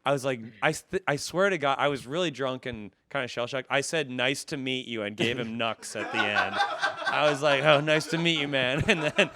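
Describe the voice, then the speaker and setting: A man's voice: medium pitch, a little fast, few pauses, heavy background noise. A man telling self-deprecating comic stories as a podcast guest in casual, profane talk, often acting out dialogue. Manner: laughs through his stories, acts out dialogue. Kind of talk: animated comedic storytelling, casual chat.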